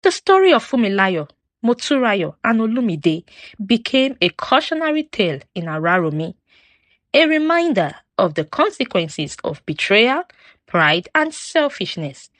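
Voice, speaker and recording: A woman narrating a folktale from a script in a solemn, expressive, dramatic storytelling style. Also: fairly high pitch, unhurried, dry recording.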